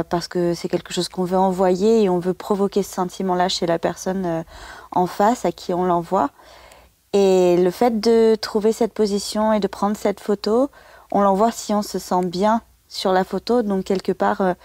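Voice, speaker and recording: Woman in her 30s, warm dark tone, dry close sound, explaining her experience informally. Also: medium pitch, no echo. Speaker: listener giving a testimonial. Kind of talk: informal personal testimonial.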